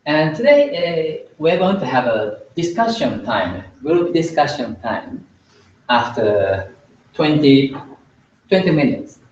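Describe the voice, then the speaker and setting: A man from Japan, lecturing a small group in a hesitant, explaining manner with many filler pauses. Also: a little high, very animated. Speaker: Buddhist lecturer. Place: indoor meeting room. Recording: roomy, slightly dull, faint room hiss.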